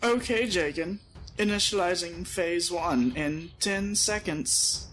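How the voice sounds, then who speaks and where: A man's voice: sits high, slow, a bit bright, slight background noise, pitched above the performer's own voice, low-quality recording. A man voicing a dutiful, clinical male technician in an audio story, formal and deferential in his wording. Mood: clinical, focused. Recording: music behind the voice.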